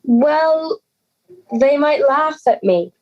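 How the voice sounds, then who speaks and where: A woman's voice: sits high, animated, drawn-out words, dull audio, heavily acted. A young woman playing an anxious, timid schoolgirl in an audio drama, hesitant and pleading. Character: anxious, timid schoolgirl afraid of being laughed at.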